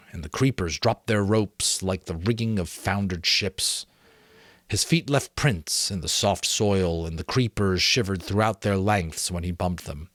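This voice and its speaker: A middle-aged man giving a dramatic, expressive audiobook-style reading of a novel in a measured storytelling manner. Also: lowish voice, dry recording, clean studio sound. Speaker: amateur audiobook reader.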